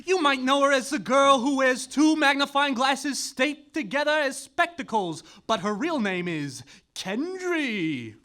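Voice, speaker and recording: Slow, bright-voiced showy emcee. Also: high-pitched, lively intonation. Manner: slow, showy announcer delivery, then a whispered noir monologue. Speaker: emcee of a poetry slam in a live comedy play. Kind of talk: live staged comedy acting. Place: live theater stage.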